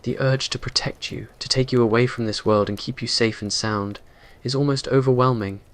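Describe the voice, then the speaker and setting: A man's voice: mid-range voice, steady tone, normal speed, fairly bright tone. A man reading scripted dramatic narration for a romantic ASMR roleplay in an earnest, brooding way. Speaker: story narrator.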